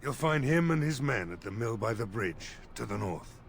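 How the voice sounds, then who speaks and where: A man voicing a gruff middle-aged village mayor readying his militia for a fight. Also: medium pitch, lively intonation, moderate pace, slight background noise. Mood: determined. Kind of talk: video game voice acting.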